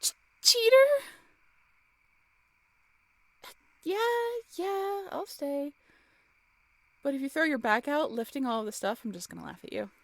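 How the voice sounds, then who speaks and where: An actress plays an affectionate, cheerful young woman in an intimate roleplay audio drama. Her voice sits fairly high and swings widely in pitch as she teases playfully. The sound is dry and free of room echo. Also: normal speed. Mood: playful teasing. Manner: playful, teasing, expressive.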